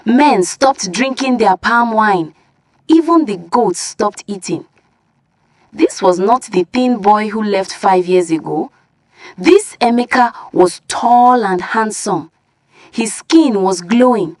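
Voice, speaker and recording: A woman's voice: medium pitch, lively intonation, slow pace, no room sound. A woman narrating a scripted folktale as a storyteller.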